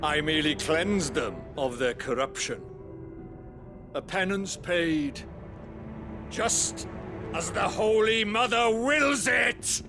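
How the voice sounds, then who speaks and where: An actor playing a cruel, fanatical religious leader in a video game, preaching with pompous scorn. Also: male voice, sits high, lively intonation, slow, pauses often, over music, full character voice. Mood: fanatical, righteous. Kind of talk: theatrical video game villain acting.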